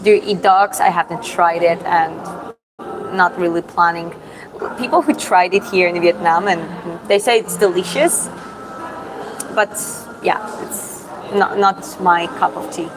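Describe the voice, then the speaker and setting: A woman from Georgia, hosting a casual livestream Q&A and answering chat in an unhurried, thoughtful way with many fillers and restarts. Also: lowish voice, fairly even intonation, a crowd in the background, poor audio. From Georgia (country). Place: public spot in Da Nang with other people around.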